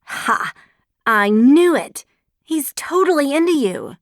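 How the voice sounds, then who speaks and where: A woman's voice: mid-range voice, moderate pace, dry recording, studio quality, natural voice. Woman voicing a bossy teasing girlfriend. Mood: gleeful. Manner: teasing, pushy.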